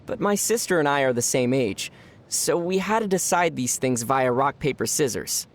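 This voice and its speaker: An actor playing a calm young male traveler hero in a video game who answers in a dry, deadpan way. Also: medium pitch, moderate pace, lightly acted. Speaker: player character. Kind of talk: video game character banter.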